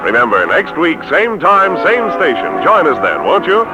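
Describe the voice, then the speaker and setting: A man's voice: a little high, wildly swooping pitch, normal speed. A man hosting a 1940s radio program with theatrical showmanship. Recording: vintage radio with loud music behind. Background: music.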